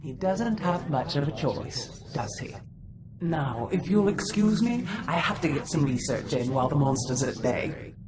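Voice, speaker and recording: A sly, theatrical, scholarly Sith. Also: male voice, a little high, expressive, measured pace, crowd noise. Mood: smug, wry. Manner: articulate, theatrical. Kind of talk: video game cutscene dialogue. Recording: music behind the voice.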